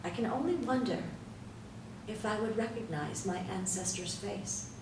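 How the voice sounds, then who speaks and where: A woman reciting poetry dramatically, in a measured, theatrical way with deliberate pauses. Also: medium pitch. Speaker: poetry reader. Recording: roomy echo, distant mic, light noise.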